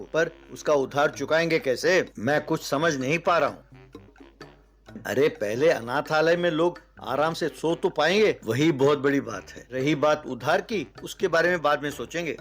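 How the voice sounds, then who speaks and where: A man's voice: on the high side, animated, unhurried, faint music behind, pitched below the performer's own voice. A man voicing a humble, kind young village factory worker in a story, earnest and pleading. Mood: worried, then reassuring.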